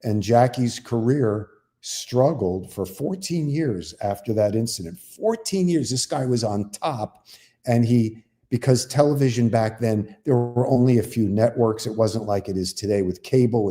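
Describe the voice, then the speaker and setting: A man's voice: mid-range voice, normal speed, warm tone. An older man, a comedian co-hosting, telling rambling, self-deprecating stories in casual chat. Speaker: comedian co-host.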